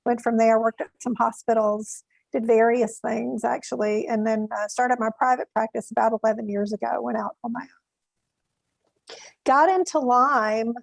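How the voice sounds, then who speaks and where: A middle-aged woman with a Mississippi accent, a therapist answering interview questions thoughtfully with pauses. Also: fairly high pitch, fairly even intonation, vocal fry, dry recording, poor audio. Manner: thoughtful, pauses to find words. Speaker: therapist guest.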